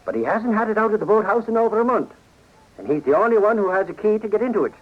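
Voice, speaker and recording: An actor playing a hot-headed, boastful Irish reporter in 1940s radio style, blustery and folksy. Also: male voice, high-pitched, animated, quick pace. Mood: chatty, confident. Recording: dull, lo-fi 1940s radio recording.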